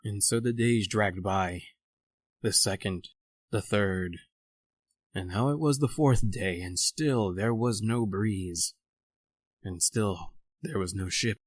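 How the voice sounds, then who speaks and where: An actor playing a proud, educated doctor adrift at sea, in a formal, theatrical old-time radio horror style. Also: male voice, on the low side, takes it slowly, long pauses, dry recording. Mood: grim narrating.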